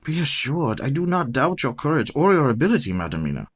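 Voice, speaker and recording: Casting: older vampire-hunting professor, radio drama; solemn, formal, deliberate, mid-pitched authority. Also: male voice, very animated, slightly rough. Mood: persuasive, courteous. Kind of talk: radio play acting.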